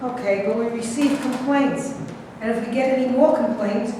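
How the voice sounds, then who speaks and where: A woman's voice: on the high side, moderate pace, natural voice. A woman in a staged play reading, playing a firm, officious security guard. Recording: very echoey hall, distant mic, clear noise.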